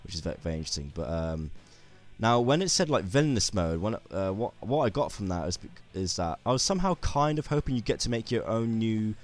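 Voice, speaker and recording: Low-voiced man with a sore throat. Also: big pitch swings, brisk. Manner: rambling, many restarts and fillers. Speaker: YouTube gaming commentator. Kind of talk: casual video commentary.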